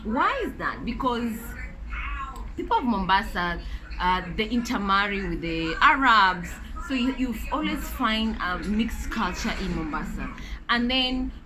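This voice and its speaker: A woman's voice: mid-range voice, very animated, measured pace, music in the background, rough recording. A woman from Kenya, chatting and explaining at length in a casual vlog, with lots of fillers and restarts. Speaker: vlogger. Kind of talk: casual story time chat while eating. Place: home, noise behind.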